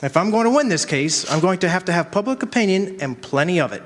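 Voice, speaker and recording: A man playing an earnest, quick-witted lawyer in a radio drama, with a persuasive manner. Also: sits high, lively intonation, a little hiss or hum. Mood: shrewd. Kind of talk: stage radio drama acting. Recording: echoey hall, long reverb.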